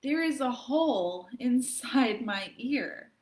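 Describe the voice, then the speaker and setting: A woman's voice: a little high, animated, slow, a little mellow. A woman voicing a whiny little girl who theatrically fakes sickness, reading a storytime poem aloud. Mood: dramatic complaining. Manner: theatrical, plaintive complaining.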